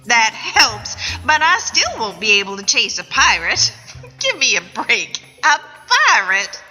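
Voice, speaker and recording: A skeptical young policewoman with a slow Southern drawl. Her tone is bright and forceful, in radio comedy style. The recording has long hall reverb and music. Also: a little high, very animated, heavily acted. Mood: dismissive. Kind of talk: comic radio play acting. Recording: echoey event hall, music behind.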